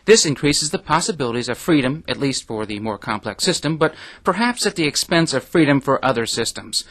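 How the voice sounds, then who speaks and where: A man's voice: mid-range voice, animated, brisk, fairly bright tone. A man playing an earnest, long-winded author explaining grand theories as an interview guest in an audio drama.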